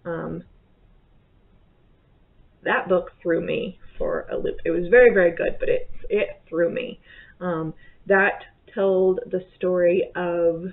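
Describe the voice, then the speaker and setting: A woman's voice: lowish voice, steady tone, slow pace, creaky, long pauses. A woman in her thirties chatting casually at home about her month's reading, rambling and correcting herself. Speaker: book vlogger. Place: bedroom or home office, indoors. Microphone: webcam at desk. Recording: tinny phone-like sound.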